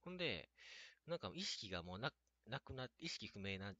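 A man talking about himself in a casual way, often halting and restarting his words. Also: on the low side, sing-song, sharp tone, no echo. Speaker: scenario writer and narrator. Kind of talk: casual self-talk and introductions.

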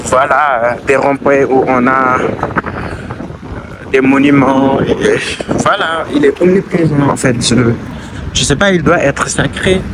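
A man's voice: mid-range voice, fairly even intonation, a little slow. Young man, travel vlog, hesitant restarts, outdoor street noise, walking camera. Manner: hesitant, thinks aloud with many restarts. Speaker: travel vlogger. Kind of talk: casual walking vlog narration. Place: busy city streets outdoors. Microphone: handheld camera while walking. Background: street and traffic noise.

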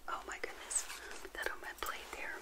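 A middle-aged woman whispering gently and intimately in an ASMR roleplay as a friendly witch hostess, close to the mic. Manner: gentle, unhurried, intimate personal attention. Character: friendly witch hosting a tea party. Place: small indoor set, seated. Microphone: close to the mic, facing the camera.

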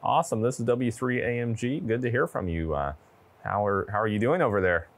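A man's voice: mid-range voice, lively intonation, fairly quick. A man in his twenties giving a casual product demo and chatting on a handheld radio, recorded indoors on a lapel mic. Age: 20s. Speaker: presenter demonstrating ham radio gear. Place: indoor studio with wood-panel backdrop.

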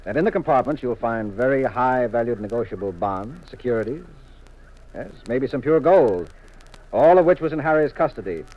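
An actor playing a confident, teasing American husband detective in a 1950s radio mystery, with a wry, assured manner. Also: male voice, mid-range voice, animated, normal speed, close to an everyday voice. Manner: wry, assured, leading questions. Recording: muffled vintage radio recording.

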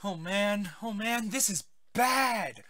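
A woman's voice: mid-range voice, lively intonation, takes it slowly, fairly bright tone, no echo, clean studio sound. A woman voicing an anxious, flustered young male monster boyfriend in a cartoon, stammering and fretful. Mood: panicked. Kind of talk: animated web series voice acting.

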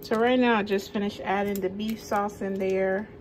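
A woman's voice: medium pitch, fairly even intonation, moderate pace, slight background noise. Chatty young woman vlogging. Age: young adult. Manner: informal, chatty. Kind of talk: casual cooking vlog narration.

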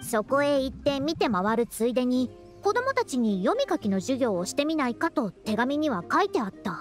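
A youthful game scholar with a high, very animated voice. She is proud and self-important but caring, and phrases everything like an old-fashioned elder. Soft music plays behind her. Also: normal speed. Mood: earnest, caring. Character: proud, youthful-sounding woman scholar who speaks like an elderly senior. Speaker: scholar and teacher. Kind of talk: Japanese anime-style video game voice acting.

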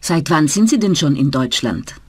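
A woman's voice: low voice, animated, normal speed, a little mellow, slightly rough, no echo, studio quality. A woman voicing a polite, businesslike travel-agency clerk answering the phone in a scripted language-course dialogue.

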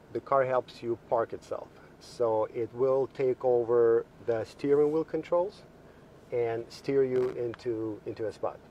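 A man's voice: medium pitch, dark tone, muffled recording, a little hiss or hum. A man working as a car dealership product specialist, walking viewers through a car's features from inside it, with plenty of ums and restarts. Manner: explains features with frequent fillers and restarts. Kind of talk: car walkthrough video. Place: inside a car in a parking lot.

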